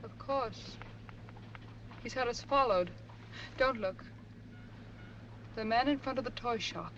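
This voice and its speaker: Scheming villainess, smooth composed voice, higher pitch, muffled old audio. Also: female voice, slow pace, a bit bright. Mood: urgent. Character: cool, scheming female criminal, posing as a customer. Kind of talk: 1940s film dialogue. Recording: dull old film soundtrack with hiss.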